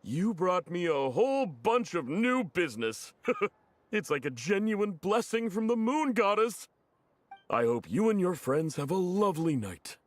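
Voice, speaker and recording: Upbeat, very animated young shopkeeper. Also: male voice, high voice, a little slow, no room sound. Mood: cheerful, grateful. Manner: chatty, expressive. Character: friendly, upbeat young male sweets vendor. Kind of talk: animated video game voice acting. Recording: music under the voice.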